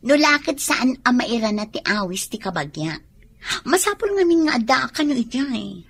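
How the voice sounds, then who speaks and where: A jealous, dramatic young woman in a radio drama. Her high voice leaps across a wide range with shrill exclamations, and her Ilocano accent carries through. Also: sing-song, normal speed, vocal fry, fairly bright tone, husky, heavily acted. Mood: shocked.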